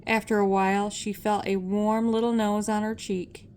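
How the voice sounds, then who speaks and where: An older woman reading a children's story aloud as a storyteller, measured and expressive. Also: mid-range voice, clear, resonant tone.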